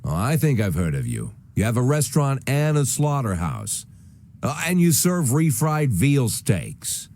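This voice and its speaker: An actor playing a sardonic, aging American police chief with a gruff, dry delivery in a video game. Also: male voice, mid-range voice, wildly swooping pitch, measured pace, darkish tone. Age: older. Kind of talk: naturalistic video game voice acting.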